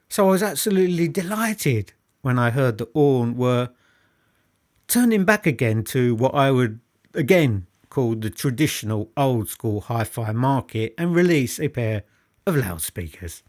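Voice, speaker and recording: A man presents a product review in an unscripted, enthusiastic way. His mid-pitched voice rises and falls in a very animated fashion. He stresses and repeats phrases, often questioning the listener directly. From United Kingdom. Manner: emphatic, repetitive, rhetorical questions to the listener.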